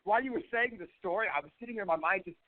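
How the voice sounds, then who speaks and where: A man's voice: high voice, racing. Man with a head cold, snotty phone-line sound. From US East Coast. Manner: chatty, profane, jokey. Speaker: radio show host. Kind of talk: casual chat. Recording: phone-like lo-fi audio.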